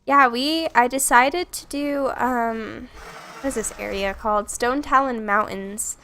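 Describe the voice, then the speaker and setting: A woman's voice: a little high, unhurried, bright, forceful tone. A young woman streaming a game, chatting casually and rambling to chat while congested and stuffy from a cold.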